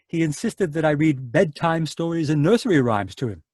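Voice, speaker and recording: A man voices an anxious psychiatrist in a radio play, his voice a little high and husky. He hesitates and stammers, recorded dry and close. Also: animated, moderate pace, darkish tone, dry recording. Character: worried male psychiatrist doctor. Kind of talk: old-time radio drama acting. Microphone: home mic on a video call.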